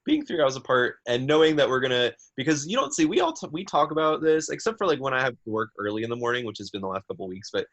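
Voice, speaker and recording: A man's voice: mid-range voice, very animated, quick pace, few pauses, a bit bright. Nasal, easygoing man. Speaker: podcast co-host. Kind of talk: casual chat.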